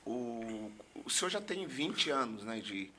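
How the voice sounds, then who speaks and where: Middle-aged male pastor, São Paulo Brazilian accent, sharp bright voice, casual podcast talk, some background noise. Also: medium pitch, very animated, takes it slowly. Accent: São Paulo Brazilian Portuguese.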